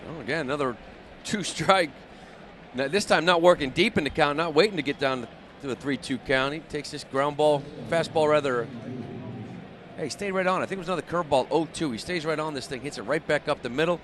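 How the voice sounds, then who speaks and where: A man with an American accent and a somewhat high voice breaks down baseball plays as a color analyst. He talks conversationally in short explanatory phrases, with clear ballpark noise around him. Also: brisk, a bit bright. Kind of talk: live baseball analysis commentary. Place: ballpark broadcast booth. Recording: clear stadium noise behind the voice.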